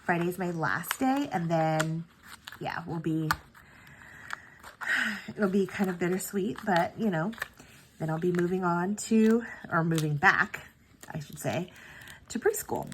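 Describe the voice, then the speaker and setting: A woman with a low voice talks through her planner in a relaxed, rambling way, full of ums and quick self-corrections. Her nose is stuffy from the tail end of a cold. A faint hiss sits under the recording. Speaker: planner sticker channel host. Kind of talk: casual planning vlog chat.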